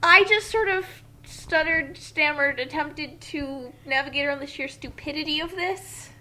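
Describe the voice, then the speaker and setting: A woman comments casually in a high, forceful voice. She sounds exasperated, exclaiming and halting as she searches for words. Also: poor audio. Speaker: co-reader voicing characters and commenting.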